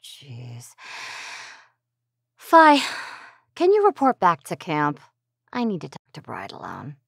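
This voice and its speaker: A woman's voice: sits low, huge pitch swings, takes it slowly, creaky, husky, no room sound, full character voice. A woman voicing a scheming female villain who commands the others, mocking and theatrical. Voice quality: mocking, theatrical, swings from whisper to sharp. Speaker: voice actor. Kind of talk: fan audio drama acting.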